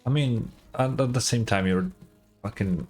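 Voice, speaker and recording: A woman's voice: very deep voice, unhurried, darkish tone, breathy edge, faint music behind. A woman giving casual game reaction commentary as a streamer, in dry, terse interjections.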